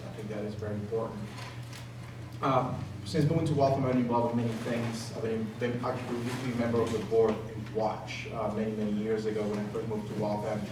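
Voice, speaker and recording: Flat-pitched man in a very echoey hall. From Peru. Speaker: city council candidate. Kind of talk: informal campaign speech. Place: large indoor public forum room. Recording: echoey hall, distant mic, background noise.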